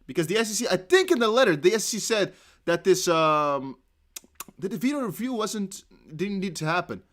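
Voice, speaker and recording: A man with a Dutch accent, a native Dutch speaker, giving chatty, excitable live commentary on a court hearing. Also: sits high, fairly quick. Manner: excitable, chatty, laughs often. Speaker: crypto news streamer.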